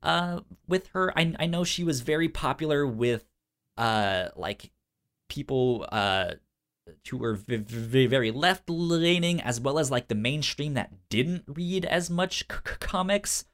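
A man co-hosting a casual pop-culture news chat, stuttering on sounds and syllables, with halting, informal phrasing. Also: mid-range voice, lively intonation, a bit bright. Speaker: podcast co-host.

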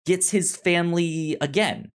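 A man's voice: on the high side, a little slow, clear, resonant tone. A man chatting casually as a podcast host, with a stutter, often acting out imagined dialogue. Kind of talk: casual TV discussion chat.

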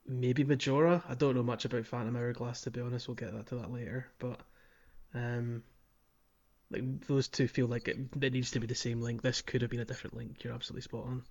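A man's voice: medium pitch, level tone, fairly quick, darkish tone. A man chatting casually as a co-host on a podcast discussion.

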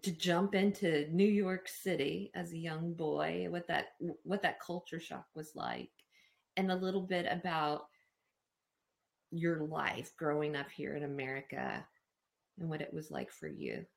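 A woman's voice: sits low, lively intonation, a little slow, vocal fry. A woman hosting a casual interview show, enthusiastic and affirming toward her guest. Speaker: podcast host.

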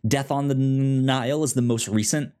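A man co-hosting a casual chat and giving recommendations in an easygoing, halting way, as if thinking aloud. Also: mid-range voice, fairly even intonation, dark tone, clear, resonant tone. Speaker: podcast co-host.

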